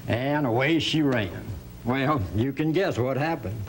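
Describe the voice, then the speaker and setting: A man's voice: medium pitch, unhurried, vocal fry, low-quality recording. A man playing a jovial cowboy storyteller, spinning tall tales in a folksy manner for an educational radio drama. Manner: folksy drawl, chuckling, animated. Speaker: guest storyteller. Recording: hum and faint background noise.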